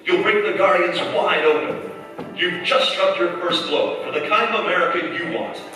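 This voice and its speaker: A grave, intense narrator of a 1940s radio play, performed by a man, speaks urgently with a bright, forceful edge. He sounds distant in a reverberant hall, with music behind him. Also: high-pitched, moderate pace. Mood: resolute. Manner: dramatic, intense. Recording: echoey hall, far mic, music behind.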